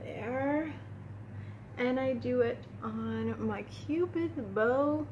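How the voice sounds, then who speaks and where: A woman's voice: sits high, extremely slow, a little mellow, dull audio, slight background noise. Chatty woman doing a makeup tutorial. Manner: chatty, talks while applying makeup, addresses viewers as "you guys". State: sniffling from a cold room. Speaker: beauty vlogger. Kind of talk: casual makeup tutorial talk. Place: indoor room at home.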